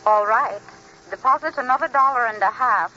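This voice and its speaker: A woman playing a brisk, impersonal long-distance telephone operator in a 1940s radio drama, formal and procedural. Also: a little high, lightly acted. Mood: impersonal, businesslike. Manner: formal, clipped, singsong procedural delivery. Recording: muffled, noisy old radio recording.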